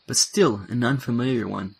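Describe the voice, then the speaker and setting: A man with a gravelly, husky voice reading scripted third-person narration for an audio drama. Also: mid-range voice, normal speed, slight background noise, poor audio.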